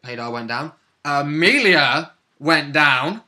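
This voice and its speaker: Bright-voiced man chatting about a game. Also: on the high side, lively intonation, slow pace. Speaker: tabletop role-playing game player. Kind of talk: casual chat recapping a game session.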